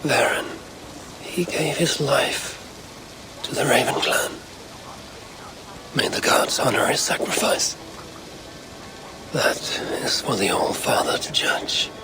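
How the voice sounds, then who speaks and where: An actor playing a brooding Viking clan leader in a video game, measured and solemn, as if murmuring to himself. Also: male voice, medium pitch, lively intonation, noisy background. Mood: solemn, hushed. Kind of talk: video game cutscene acting.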